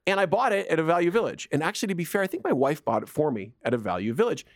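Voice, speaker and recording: A man's voice: a little high, very animated, rapid-fire, no echo, clean studio sound. A man from Canada co-hosting a radio show, telling stories in playful casual banter. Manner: playful, storytelling. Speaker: radio co-host.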